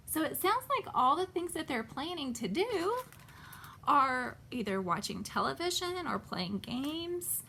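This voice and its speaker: A woman reads to children in a high voice with a very lively melody. She takes her time, stopping to ask simple questions and point things out. There is some background noise. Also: creaky. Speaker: children's storytime host and reader. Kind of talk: read-aloud picture book storytelling with asides to children.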